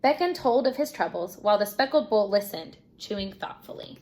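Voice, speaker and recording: A woman teacher reading a children's storybook aloud in an expressive narrating style. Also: medium pitch, a little fast.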